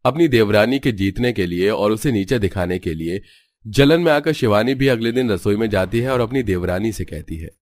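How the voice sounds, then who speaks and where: Casting: male narrator, lowish voice, scripted cartoon storytelling. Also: animated, normal speed, darkish tone, dry recording.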